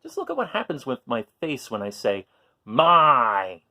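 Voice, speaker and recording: A man reading aloud to young children, expressive, with chatty asides and questions put to his listeners. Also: medium pitch, fairly quick, gravelly. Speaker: children's storytime reader.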